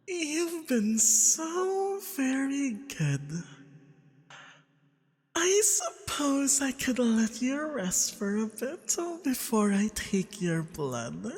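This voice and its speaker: A possessive femboy vampire captor played by a woman speaks in a high, breathy voice at a very slow, lingering pace. He coos and teases flirtatiously with an undertone of menace. The ASMR roleplay is drenched in long reverb. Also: a little mellow, full character voice. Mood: cooing, indulgent. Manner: slow, cooing, teasing pet-name talk with breathy murmurs.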